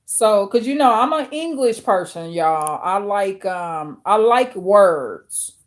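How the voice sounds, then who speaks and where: A woman with a deep, authoritative voice hosting a livestream, reading viewer chat aloud and answering slowly with many fillers and restarts. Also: expressive. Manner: addresses viewers directly. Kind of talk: casual chat with live viewers.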